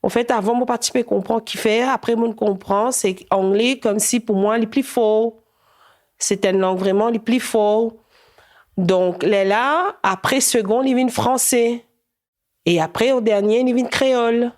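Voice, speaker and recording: A woman's voice: fairly high pitch, measured pace, darkish tone. A woman from Mauritius answering an interview casually, blunt and searching for her words. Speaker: interviewee, work colleague.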